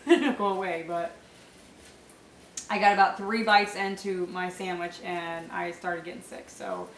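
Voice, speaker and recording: A woman's voice: mid-range voice, roomy sound, distant mic, a little hiss or hum, rough recording. A young woman with a stuffy, nasal voice from a cold, chatting casually in a vlog with lots of fillers and small laughs. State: congested, nasal, sore throat. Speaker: vlogger. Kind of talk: casual pregnancy update vlog.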